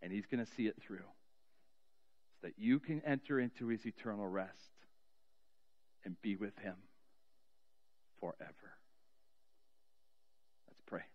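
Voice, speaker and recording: A middle-aged man preaching as a pastor in a church sanctuary, telling a story earnestly and slowing down with soft, trailing endings. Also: lowish voice, highs cut off. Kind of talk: sermon storytelling and spoken prayer. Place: large church sanctuary, indoors. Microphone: cheek headset mic.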